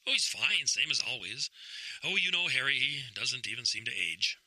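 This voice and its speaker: A folksy churchman in a radio play , acted by a man. His voice is very bright and sharp, and it swings up and down as he fusses with fretful concern. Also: mid-range voice, big pitch swings, normal speed, no room sound, full character voice, low-quality recording. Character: fussy, concerned male senior church colleague. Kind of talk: audio drama acting.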